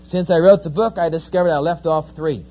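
A man's voice: a little high, fairly quick. A middle-aged man with a New Jersey accent, giving a sermon-style talk full of folksy, joking stories. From New Jersey, USA. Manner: folksy, joking, lively storyteller. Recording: dull lo-fi recording.

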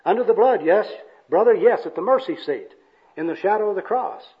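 A pious old evangelist, played by a man in an amateur skit, fervent and florid. His preaching voice sits fairly high. The recording is dull and lo-fi. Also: lively intonation, a little fast. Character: kindly old male gospel worker, pious, jargon-spouting, fervent. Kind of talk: amateur dramatic reading of a comic skit.

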